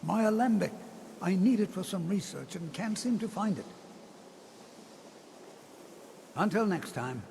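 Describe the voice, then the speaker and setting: Gravelly old wizard scholar in a reverberant hall. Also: male voice, high voice, animated, normal speed. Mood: sheepish. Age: older. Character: kindly, measured old wizard scholar of a magic college. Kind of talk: fantasy video game character dialogue. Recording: long hall reverb, noisy ambience.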